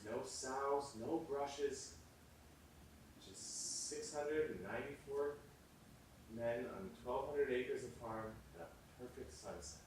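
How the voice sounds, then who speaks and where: A man's voice: a little high, sharp tone, slight background noise. An actor playing an obsessive, stubborn male film director, speaking in an emphatic, self-important, theatrical way. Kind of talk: theatrical dramatic dialogue. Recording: very echoey room, distant microphone.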